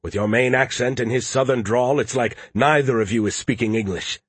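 A man's voice: mid-range voice, fairly quick, slightly rough, no room sound, natural voice. A man acts out a smug male artificial intelligence in a sci-fi audiobook. He is pompous and theatrical, mocking whoever he talks to with heavy sarcasm. The sound is dry and close. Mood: condescending, mocking.

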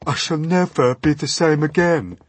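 A man's voice: a little high, moderate pace, a little hiss or hum, voice pushed up, natural voice. A man voicing a gloomy, self-pitying earthworm who grumbles and complains in a storybook reading.

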